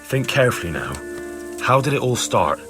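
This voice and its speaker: An actor playing an earnest, persistent young investigator in a video game, questioning in a measured way. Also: male voice, medium pitch, big pitch swings, music in the background, lightly acted. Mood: patient, probing. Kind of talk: video game dialogue acting.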